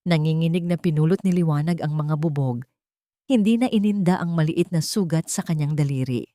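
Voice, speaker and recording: A woman's voice: low voice, a little fast, mellow, no echo, clean studio sound. A Filipino woman delivering scripted dramatic story narration.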